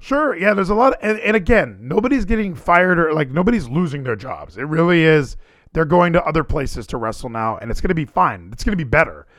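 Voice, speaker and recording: A man chats as a podcast co-host, animated and full of quick asides. His voice sits a little high and swings up and down a lot. The sound is close and dry. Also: dry recording. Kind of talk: casual wrestling chat.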